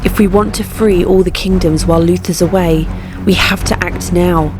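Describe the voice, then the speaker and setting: A woman's voice: fairly low pitch, steady tone, normal speed, music in the background, natural voice. A woman voicing a determined young warrior queen who speaks firmly and resolutely. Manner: firm, resolute. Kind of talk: fan dub voice acting.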